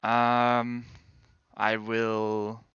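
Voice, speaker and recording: Young Danish man, bright and clear. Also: lowish voice, completely flat delivery, very slow, dry recording, muffled recording. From Denmark. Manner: rambling, hedging, self-correcting. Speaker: game streamer and pro player. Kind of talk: casual chat answering viewers.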